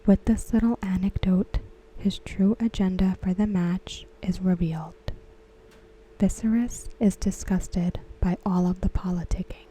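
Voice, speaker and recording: A woman whispering softly and slowly as she recaps and discusses a TV episode for an ASMR video. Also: mid-range voice, steady tone, slight background noise, rough recording. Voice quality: breathy. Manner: slow, soft whispering. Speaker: ASMR creator. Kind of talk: soft-spoken ASMR recap.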